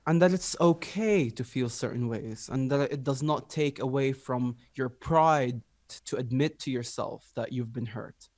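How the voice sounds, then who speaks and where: A man's voice: medium pitch, a little slow, few pauses, a little mellow, no echo. A young man from Libya, a musician, talking reflectively in a casual interview with many filler words. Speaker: musician guest.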